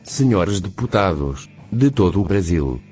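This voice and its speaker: A man's voice: low-pitched, very animated, a little slow, a little mellow, soft background music. A man voicing a stiff, literal-minded male robot that recites rhymes in a radio comedy sketch, answering in short, humorless lines. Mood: reciting. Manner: curt, humorless, literal replies.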